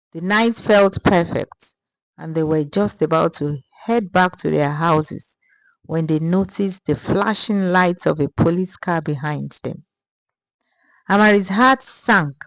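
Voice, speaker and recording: A woman narrating a scripted story in a measured, even storytelling manner. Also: lowish voice, no echo, poor audio.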